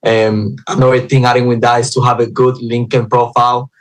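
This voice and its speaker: A man who works as a recruiter at a staffing agency, giving practical advice on a panel in a steady, advising way. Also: medium pitch, a little fast. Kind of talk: panel discussion.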